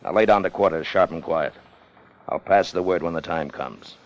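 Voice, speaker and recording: An actor playing a ruthless, commanding ship's officer who plots mutiny for gold, in a forceful 1950s radio drama style. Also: male voice, sits low, moderate pace, dull audio. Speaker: lead character and narrator. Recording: noisy vintage 1950s radio recording.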